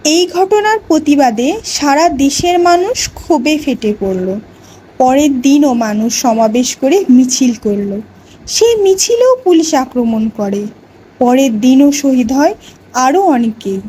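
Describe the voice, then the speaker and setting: A woman playing a schoolteacher in an educational cartoon, narrating a lesson and quizzing pupils in a deliberate, instructive way. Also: high voice, expressive, mellow, slight background noise, poor audio. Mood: instructive, solemn. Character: female schoolteacher telling a history lesson to children. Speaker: teacher.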